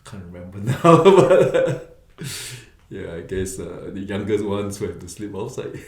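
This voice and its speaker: An older man in his sixties, from Singapore, speaks in a lowish voice as a guest telling his life story. He answers humbly and often laughs. A roomy echo from the book-lined studio hangs on his voice. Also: very animated, measured pace. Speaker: guest, businessman. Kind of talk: personal life story interview.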